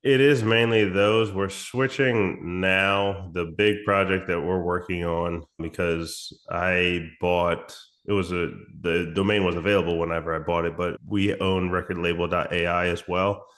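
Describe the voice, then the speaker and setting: A man with a very low, raspy voice, hoarse from a recent cold. He explains things in a relaxed, conversational way, often restarting his sentences. He sounds like he is in his thirties. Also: unhurried, few pauses. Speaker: music promotion agency founder. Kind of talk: casual interview chat.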